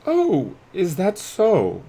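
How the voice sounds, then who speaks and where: A man voicing a theatrical, easily flustered head mage of a magic school, excitable and dramatic. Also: high voice, very slow, pitched above the performer's own voice, full character voice, poor audio.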